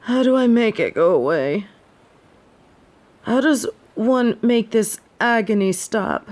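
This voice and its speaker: A proud, snobby fallen angel of judgment, acted by a woman, suffering through a head cold. The voice is fairly high and very measured, with haughty, old-fashioned formal wording suited to a dramatic audio roleplay. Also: animated, darkish tone. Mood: pained, demanding. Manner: haughty, formal, archaic phrasing. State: sick with a head cold, sore throat.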